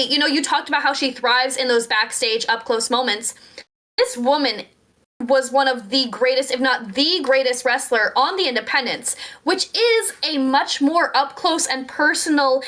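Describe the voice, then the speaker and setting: A woman's voice: a little high, bright, forceful tone. A young woman co-hosting a wrestling podcast, talking with emphatic, well-enunciated passion. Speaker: podcast co-host. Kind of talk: casual wrestling podcast chat.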